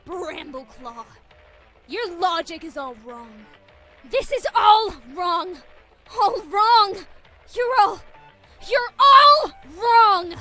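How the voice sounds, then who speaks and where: A woman in an animated fan dub voicing a distraught warrior cat, frantic and fiercely defensive of her kin. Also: extremely slow, heavily acted. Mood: anguished denial. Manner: shrill, repetitive, frantic shouting. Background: music.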